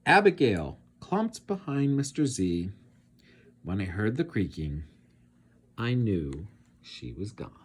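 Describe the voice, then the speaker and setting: Slow, animated male storybook narrator. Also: fairly low pitch, slight background noise. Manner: dramatic, expressive, suspenseful. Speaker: read-aloud teacher narrating. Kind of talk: children's storybook read-aloud.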